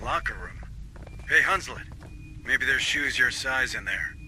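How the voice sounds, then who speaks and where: A hard-bitten marine sergeant from a sci-fi game who trades sarcasm for orders. His voice is bright and edged, at a middling pitch, with faint game ambience underneath. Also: lively intonation, unhurried, a little hiss or hum. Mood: wry. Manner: dry, sarcastic, commanding. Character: wry gruff male marine sergeant, middle-aged. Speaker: marine sergeant leading a squad. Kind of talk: video game dialogue.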